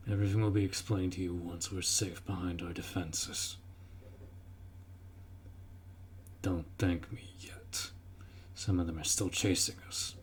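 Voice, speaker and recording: A man playing a gruff, dutiful orc warrior in a fantasy roleplay audio drama, blunt and protective as he speaks to the listener. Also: sits low, little pitch movement, normal speed, a little hiss or hum, natural voice. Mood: urgent, commanding.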